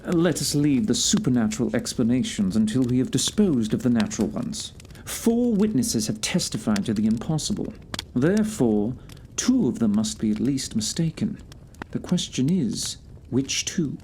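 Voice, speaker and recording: A man acts a confident gentleman detective in an audio mystery play. His delivery is authoritative and clipped, swinging widely in pitch. There is faint noise behind the voice. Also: big pitch swings. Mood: deliberate, reasoning. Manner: clipped, deliberate, authoritative, old-fashioned phrasing. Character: brisk, shrewd gentleman detective, confident and commanding. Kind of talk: audio theatre detective drama.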